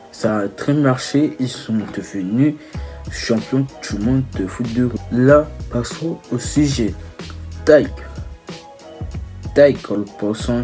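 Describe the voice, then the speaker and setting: A young man giving casual, teasing video commentary, halting and correcting himself as he goes. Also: medium pitch, mellow. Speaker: video creator.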